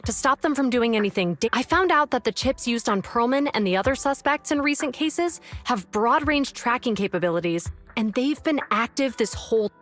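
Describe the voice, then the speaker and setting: An actress playing an earnest, by-the-book police officer in a video game, with an urgent, precise way of talking. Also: female voice, a little high, animated, normal speed, hardly any pauses, a bit bright, faint music behind. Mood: serious, explaining.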